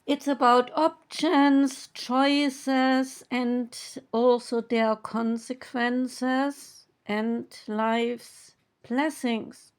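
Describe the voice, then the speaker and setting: A woman reading oracle card meanings aloud in a slow, measured, soothing way. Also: a little high, little pitch movement, smooth. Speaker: tarot and oracle card reader.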